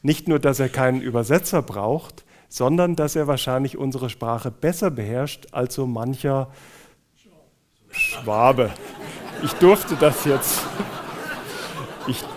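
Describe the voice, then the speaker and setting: A man's voice: mid-range voice, slow pace, pauses often, a faint crowd, a little hiss or hum. A man from Hesse with a Hessian German accent, introducing a guest speaker at a church conference with personal, joking asides to the audience.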